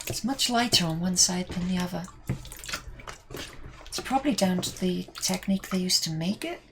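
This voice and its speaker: A woman's voice: on the low side, expressive, normal speed. A woman with a Welsh accent, chatting casually with viewers while building cosplay armour. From Wales. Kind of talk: casual build stream chat. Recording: noisy home workshop recording.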